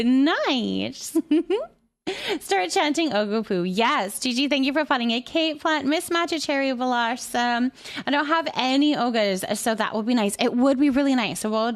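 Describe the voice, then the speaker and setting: A woman hosting a casual livestream, chatting with viewers in a gentle, affectionate way and often repeating her phrases softly. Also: high voice. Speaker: livestream host. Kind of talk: casual livestream chat, thanking viewers and reading their messages aloud.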